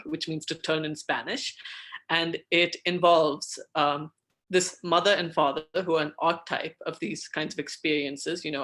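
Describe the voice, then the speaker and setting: A man's voice: on the high side, fairly bright tone. An Indian American man, an author on a video call panel, introducing his work in an earnest, flowing way and reading a passage of fiction. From United States, Indian American.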